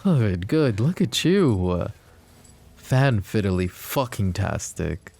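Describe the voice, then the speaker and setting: A man voicing a possessive, menacing mafia boss in a roleplay audio drama, talking slow and taunting, with sweet pet names. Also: fairly low pitch, sing-song, full character voice. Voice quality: soft, smooth and taunting. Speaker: voice actor.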